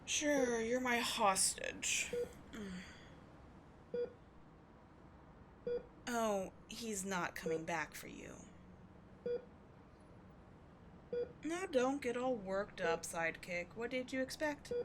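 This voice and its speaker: A woman's voice: a little high, animated, measured pace, a bit bright, slight background noise. A woman playing a sardonic, commanding supervillain in a scripted audio roleplay, biting and contemptuous as she tends a captive sidekick. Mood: wry, cutting.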